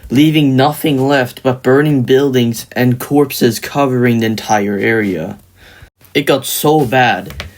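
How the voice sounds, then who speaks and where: A man's voice: medium pitch, a little mellow. A man narrating a scripted commentary video in the style of an explainer on fictional match-ups.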